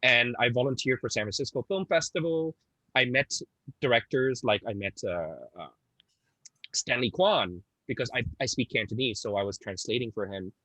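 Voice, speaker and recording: A man's voice: mid-range voice, moderate pace, pushed and bright, no echo, poor audio. A man from Hong Kong moderating a panel, talking casually with many fillers and restarts, laughing easily.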